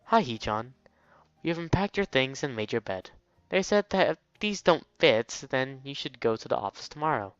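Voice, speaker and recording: A man reading a note as a caring, nurturing mother to a son, in a soft tone within a visual novel. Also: medium pitch, big pitch swings, moderate pace, clean tone, no room sound, lo-fi sound. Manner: soft, caring tone without a real voice change.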